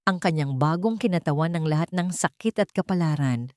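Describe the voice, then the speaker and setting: A woman's voice: sits low, fairly quick, darkish tone, no room sound, studio quality. A woman reading scripted, dramatic narration as the storyteller of a romance story.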